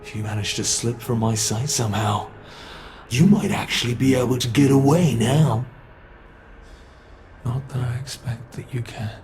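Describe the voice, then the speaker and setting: A man's voice: medium pitch, steady tone, moderate pace, long pauses, a little mellow, slight background noise, natural voice. A man with a British accent playing a predatory male monster from under the bed in an ASMR horror roleplay, taunting his prey with playful menace. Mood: smug, hushed.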